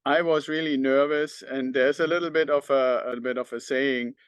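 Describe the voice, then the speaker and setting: A man's voice: mid-range voice, fairly even intonation, normal speed, clear, resonant tone, no room sound. A middle-aged man from northern Germany with a German accent, talking unscripted to his audience as a podcast host.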